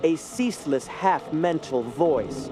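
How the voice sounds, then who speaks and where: An actor playing an eccentric, haughty sculptor haunted by dreams, in a theatrical, brooding radio drama style. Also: male voice, fairly high pitch, lively intonation, slow pace. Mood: haunted, entranced. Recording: loud music behind the voice. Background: music.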